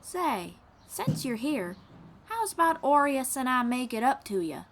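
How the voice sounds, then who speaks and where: A woman's voice: sits high, sing-song, measured pace, full character voice. A woman playing a friendly young traveling bard in an audio roleplay, encouraging and reassuring, with a folksy drawl and casual phrasing. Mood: warm, welcoming. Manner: encouraging, reassuring, gently guiding. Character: friendly young female traveling bard who teaches riding. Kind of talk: second-person audio roleplay acting.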